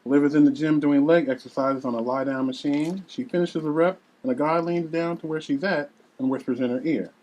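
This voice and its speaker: A man with a husky voice reading screenplay directions aloud as narrator. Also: a little high, steady tone, fairly quick, few pauses, darkish tone, low-quality recording.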